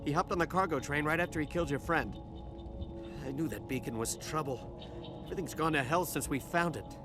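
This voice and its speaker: A man's voice: a little high, brisk, natural voice. A man playing a cowardly, frightened dockworker who has survived an attack in a video game, stammering, nervous and pleading. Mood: shaken. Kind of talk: cinematic sci-fi game dialogue. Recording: music under the voice.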